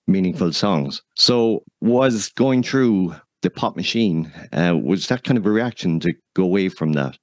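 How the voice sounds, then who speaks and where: A man with a fairly low voice interviews a guest as podcast host. His delivery is conversational, and the recording is dry. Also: dry recording.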